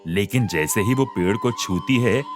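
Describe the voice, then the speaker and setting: A man narrating a scripted animated moral story. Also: lowish voice, unhurried. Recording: music behind the voice.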